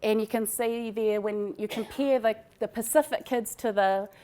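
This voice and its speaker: A woman's voice: fairly high pitch, fairly even intonation, normal speed, dark tone, a little hiss or hum. A woman with a New Zealand accent presenting research in an informal, conversational academic lecture.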